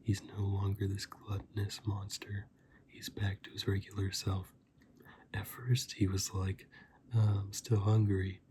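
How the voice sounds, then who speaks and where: A man hosting a casual ASMR review, speaking softly and breathily in an unhurried, rambling way, often dropping into a whisper. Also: fairly low pitch, monotone, slight background noise. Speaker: host of an ASMR review channel. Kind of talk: soft-spoken ASMR film review.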